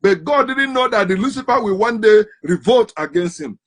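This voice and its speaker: A man's voice: high-pitched, lively intonation, moderate pace, a bit bright. A man giving an impassioned, emphatic monologue on religion, hammering home rhetorical questions. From Africa. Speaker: commentator on religion.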